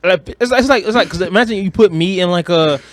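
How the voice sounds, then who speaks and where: A man with a slightly high voice chats as a podcast co-host. His talk is slangy and emphatic, and he likes to act out lines he quotes. The recording is dry, without room sound. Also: fast talker, dry recording. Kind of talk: casual chat about movies.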